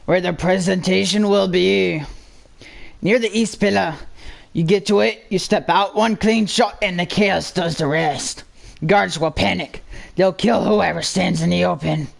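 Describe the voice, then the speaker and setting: A reader voicing a cold, sure outlaw schemer in a story, flat and commanding. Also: medium pitch, creaky.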